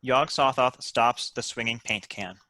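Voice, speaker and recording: A man's voice: mid-range voice, measured pace, sharp tone, no echo. A man reading out stage directions as the narrator of a scripted table read.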